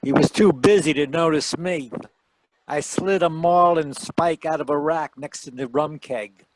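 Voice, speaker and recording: A man's voice: fairly high pitch, unhurried, no room sound, close to an everyday voice, poor audio. A man playing a wisecracking hard-boiled detective who narrates in 1940s radio mystery style, wry and slangy. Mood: wry narrating. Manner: wry, slangy, worldly-wise. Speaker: actor in a radio mystery performance. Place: home, live video call performance.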